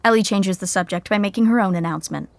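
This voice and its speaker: A woman's voice: mid-range voice, fast, vocal fry, no echo, natural voice. A woman narrating a scripted audio sitcom as a wry storyteller who comments on the action. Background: music.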